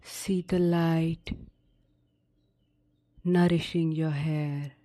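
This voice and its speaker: Woman doing a sleep meditation, really slow and mellow, soft even tone, lots of pauses. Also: sits low. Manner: soothing, unhurried instructions with long pauses. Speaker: meditation guide. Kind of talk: guided sleep meditation narration.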